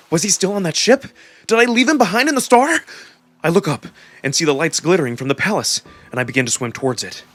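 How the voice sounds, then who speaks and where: A man with a fairly high voice narrates a scripted story in the first person. His delivery is theatrical and emotionally invested, as in a dramatic reading. Music plays behind him. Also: a bit bright, slightly rough. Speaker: narrator and performer of a visual novel.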